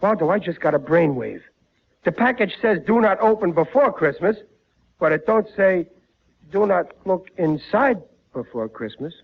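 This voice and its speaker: An actor playing a bumbling, excitable American working-class father in 1940s radio comedy, stammering and repeating his words. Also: sits high, moderate pace, gravelly, heavily acted. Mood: scheming. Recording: muffled, noisy vintage radio broadcast.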